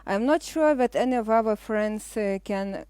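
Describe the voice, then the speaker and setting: A middle-aged woman with a Lithuanian accent hosting a live cooking show, chatting casually as she explains each step with frequent pauses. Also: on the high side, darkish tone, clean tone, no room sound.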